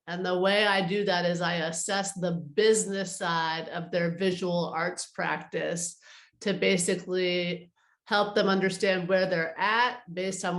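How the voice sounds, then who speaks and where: A woman's voice: on the low side, echoey room. A middle-aged woman speaking as an interview guest in a casual, conversational style. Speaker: interview guest, artist advisor.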